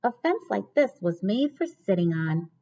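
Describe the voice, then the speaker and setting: A woman's voice: mid-range voice, very animated, a little slow, dark tone. A little girl from a children's storybook , voiced by a woman reading aloud. She is friendly and eager. The sound is a bit dull and lo-fi.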